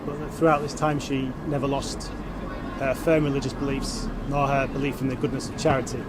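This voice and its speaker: A man, a police detective, giving a formal, measured prepared statement. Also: a little high. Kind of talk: prepared public statement to press. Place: outdoors in a city. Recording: noisy outdoor city street with traffic. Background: traffic and urban noise.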